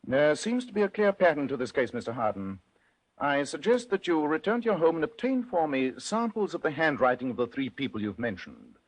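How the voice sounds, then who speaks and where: A composed, brisk gentleman detective from old-time radio. His pitch swings widely as he reasons aloud in precise, theatrical phrases. The voice is a little rough-edged, with formal courtesy throughout. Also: sing-song, rough recording. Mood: analytical. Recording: vintage radio drama recording.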